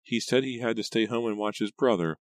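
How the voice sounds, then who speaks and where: A man's voice: on the low side, fairly quick, no echo, voice pushed up, natural voice. A man voicing an anxious, suspicious teenage girl in an audiobook, thin and shaky, with a pleading, stammering manner. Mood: upset, whiny. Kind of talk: audiobook character dialogue.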